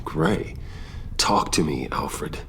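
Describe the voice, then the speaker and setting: An actor playing a brooding, determined vigilante in a video game, speaking with earnest, restrained insistence. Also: male voice, rumbling low, huge pitch swings, very slow, creaky, fairly bright tone, slight background noise, exaggerated voice. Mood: earnest concern. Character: brooding, determined adult male vigilante billionaire. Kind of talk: dramatic video game acting.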